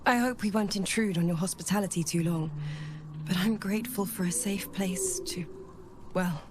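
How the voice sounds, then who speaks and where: A woman playing a gentle, earnest character in a video game, soft, breathy and hesitant. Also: medium pitch, lively intonation, moderate pace, background noise, natural voice. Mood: relieved, grateful. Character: gentle, earnest woman returned from death, long in hiding. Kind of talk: intimate video game acting.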